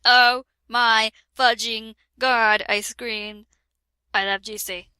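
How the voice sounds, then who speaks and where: Bright, playful woman reading in a dry room. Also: fairly high pitch, fairly even intonation, slow, smooth, no room sound. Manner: playful, laughing, chiming in with corrections. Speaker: co-reader. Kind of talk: reading a parody fanfic aloud.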